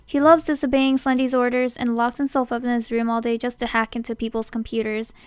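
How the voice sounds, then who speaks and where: Female casting-call host: smooth high voice, scripted explainer delivery, dry close sound. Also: flat delivery, brisk, dry recording. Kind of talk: scripted narration.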